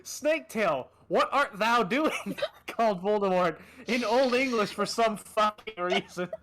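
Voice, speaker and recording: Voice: medium pitch, lively intonation, a little slow, noisy background. A theatrical, amused reader giving a comedic reading of fan fiction, often breaking into laughter and dropping in asides.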